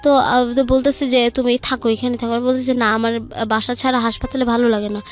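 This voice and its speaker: A woman's voice: on the high side, little pitch movement, quick pace. A young woman with a Bangladeshi accent tells her own story conversationally, recounting who said what in a colloquial way over music. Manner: colloquial, recounting dialogue turn by turn. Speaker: guest telling own life story.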